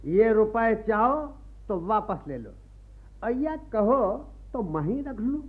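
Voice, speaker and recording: Pompous greedy moneylender in a radio play, slow and taunting, muffled old recording. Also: male voice, high-pitched, very animated, exaggerated voice. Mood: stern, threatening. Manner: taunting, repeats a pious catchphrase. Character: greedy, wheedling male Brahmin moneylender, pompous village bully. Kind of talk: Hindi radio play acting.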